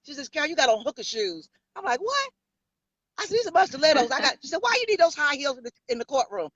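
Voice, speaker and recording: A woman's voice: high-pitched, sing-song, fast, fairly bright tone, no room sound, highs cut off. A woman in her fifties from New York, with a New York accent, telling her story in a bold, sassy way as an interview guest. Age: 50s. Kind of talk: casual interview.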